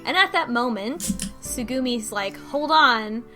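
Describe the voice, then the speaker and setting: A woman co-hosting a podcast, casually retelling a story in halting bursts with false starts and some swearing. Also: fairly high pitch, animated, music in the background. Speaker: podcast co-host recapping a story. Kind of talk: casual conversational plot recap.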